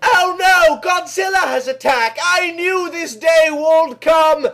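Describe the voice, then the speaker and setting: A brave, defiant Roman girl of the storybook kind, acted out by a man. The voice sits very high and declares everything in slow, earnest exclamations. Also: bright, forceful tone, exaggerated voice. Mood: alarmed, urgent.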